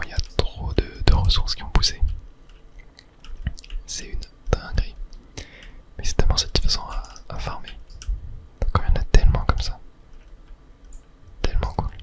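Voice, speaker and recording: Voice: a bit bright, low-quality recording. A host whispers casual gaming commentary for ASMR, thinking aloud in a murmur with little filler words. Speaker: host of a gaming video series.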